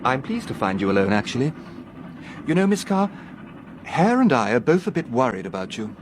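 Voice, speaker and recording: An actor playing a polite, formal, patronising English professor who coaxes, in a British radio drama. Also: male voice, mid-range voice, very animated, a little fast, lightly acted. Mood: coaxing, concerned. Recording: noisy train background ambience.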